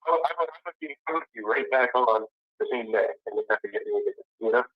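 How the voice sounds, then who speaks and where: A man calling from jail over a muffled phone line, chatting in a halting, repetitive, rambling way. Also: mid-range voice, no echo. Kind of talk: casual phone conversation. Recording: dull, band-limited jail phone line.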